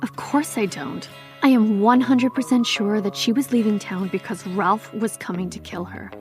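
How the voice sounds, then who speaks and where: A woman's voice: medium pitch, moderate pace, darkish tone, lightly acted. A woman playing an earnest interviewee, the loyal friend of a missing girl, speaking plainly. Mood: certain, grim. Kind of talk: interview in an audio drama. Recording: music clearly behind the voice. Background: music.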